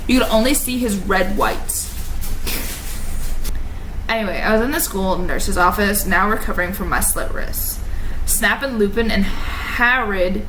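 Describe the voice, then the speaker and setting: A woman's voice: medium pitch, unhurried, a bit bright. A woman giving a dramatic, tongue-in-cheek amateur story reading, stumbling over odd wording now and then. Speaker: amateur fan fiction reader. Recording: roomy echo, noticeable background noise.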